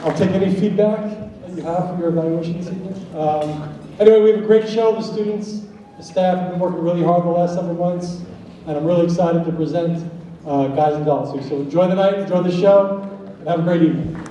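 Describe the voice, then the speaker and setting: Man, high voice, quick pace, show presenter, welcome speech, far off in echoey auditorium. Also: fairly even intonation, warm tone, lo-fi sound. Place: school auditorium, heard from audience. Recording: distant, very echoey auditorium, faint noise.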